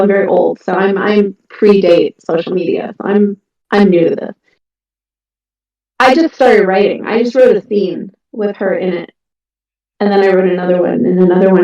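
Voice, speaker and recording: A woman's voice: medium pitch, warm tone. A middle-aged woman, an indie author, talking casually and earnestly about her writing, with frequent ums and restarts. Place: home, on a voice chat. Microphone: computer mic on a voice call. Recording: echoey, distant, muffled voice-chat audio.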